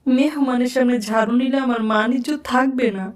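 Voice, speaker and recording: A woman's voice: high voice, fairly even intonation, fairly quick, background noise, lightly acted. A woman voicing a sulky, whiny teenage daughter in a cartoon. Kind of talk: cartoon voice acting.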